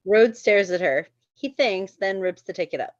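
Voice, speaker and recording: A woman's voice: medium pitch, expressive, normal speed, no room sound, lo-fi sound. A woman reading stage directions as narrator in a scripted screenplay read.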